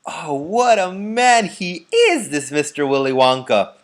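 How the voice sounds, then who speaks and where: Man performing a storybook grandfather in his nineties, eager, boastful, emphatic, very animated pitch. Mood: awed, excited. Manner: exclamatory, emphatic, drawn-out boasting. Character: frail, very old grandfather turned excited, boasting storyteller. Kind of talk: children's storybook read-aloud.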